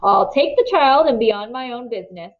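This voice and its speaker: A woman's voice: expressive, fairly quick, muffled recording, natural voice. A woman reading a storybook voices a smug, greedy man who talks with petulant, thin politeness. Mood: shrill anger. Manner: smooth talk turning higher, petulant and shrill.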